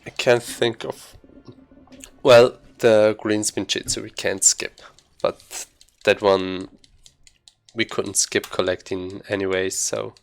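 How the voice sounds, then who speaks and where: A man giving casual live commentary as he plays a game, haltingly thinking aloud. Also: fairly low pitch, level tone, gravelly. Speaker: speedrunner commentating gameplay.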